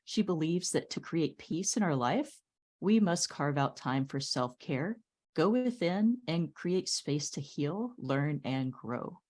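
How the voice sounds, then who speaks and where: Low-voiced woman podcast host. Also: expressive, takes it slowly. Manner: warm, admiring, enthusiastic. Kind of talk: interview host.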